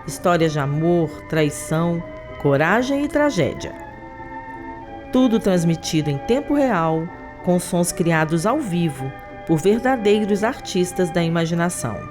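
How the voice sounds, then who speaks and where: A woman's voice: on the low side, expressive, measured pace, music in the background. A woman with a Brazilian accent, reading scripted documentary narration.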